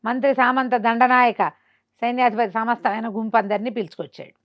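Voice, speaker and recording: A woman's voice: on the high side, fairly even intonation, fairly quick, highs cut off. A woman with a Telugu accent telling a folk tale conversationally, expressively acting out the dialogue of its characters. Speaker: storyteller.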